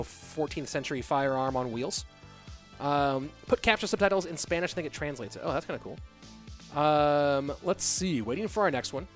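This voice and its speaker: A man casually casting an esports stream, reading viewer chat aloud and answering in a relaxed, rambling way. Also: on the high side.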